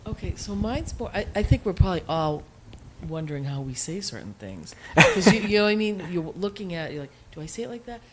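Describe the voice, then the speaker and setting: A woman's voice: fairly low pitch, expressive, fairly quick, a crowd in the background. A woman with a Boston accent, asking a question from the audience in a chatty, self-deprecating way. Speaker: audience member.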